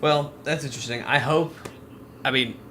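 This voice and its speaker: A man's voice: medium pitch, steady tone, normal speed, bright, forceful tone, slight background noise. A man hosting a casual gaming podcast, chatting with friends. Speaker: podcast host.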